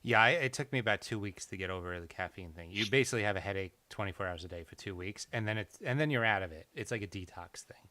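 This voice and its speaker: A man's voice: fairly low pitch, quick pace, few pauses, bright, forceful tone, no room sound. A man in his thirties chatting casually, asking blunt, skeptical questions. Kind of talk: casual chat.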